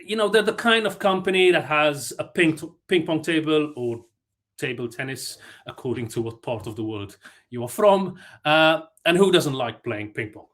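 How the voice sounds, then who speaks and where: A man's voice: fairly high pitch, normal speed, fairly bright tone. A man from Malta giving a conference talk, chatty and self-deprecating, with frequent fillers and restarts. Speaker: conference speaker.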